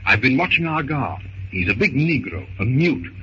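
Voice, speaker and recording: A resolute gentleman adventurer in an old radio serial. His delivery is lively and genteel, with a very bright, slightly high voice. The sound is muffled and hissy. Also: moderate pace. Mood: confident, hushed planning. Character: resolute, gentlemanly adventurer held captive aboard ship. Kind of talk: 1930s radio serial acting.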